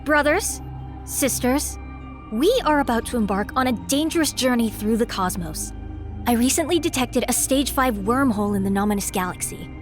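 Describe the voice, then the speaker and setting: A woman's voice: a little high, lively intonation, moderate pace, faint music behind, lightly acted. A woman playing an earnest, eager robot scientist in a sci-fi audio drama. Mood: earnest, nervous.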